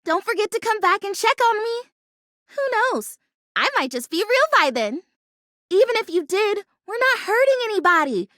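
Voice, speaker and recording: An actress voicing a kooky, touchy but hopeful plastic houseplant in a video game, with perky, dramatic delivery and folksy exclamations. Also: female voice, extremely high pitch, very animated, moderate pace, pushed and bright, no echo, studio quality, exaggerated voice. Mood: delighted, hopeful. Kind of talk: video game character voice acting.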